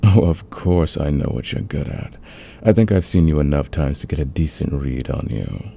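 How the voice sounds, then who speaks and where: A man playing a smug, flirtatious fantasy villain in a romantic ASMR roleplay, teasing and intimate with a husky, gravelly voice. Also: extremely low pitch, lively intonation, warm tone, exaggerated voice. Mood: confiding, smitten. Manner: teasing, intimate, drawn-out confiding delivery. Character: flirtatious, smug male fantasy villain, secretly smitten rival.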